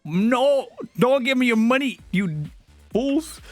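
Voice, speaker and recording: A man's voice: very high voice, takes it slowly, soft background music. A young man whose first language is Spanish, chatting casually and playfully with his live-stream chat. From Latin America. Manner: animated, playful, teasing with chat.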